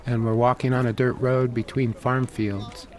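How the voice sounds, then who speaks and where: A man, narrating a documentary report in a calm, measured, plain-spoken way. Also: mid-range voice. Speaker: host and reporter. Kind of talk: scripted narration and field interview.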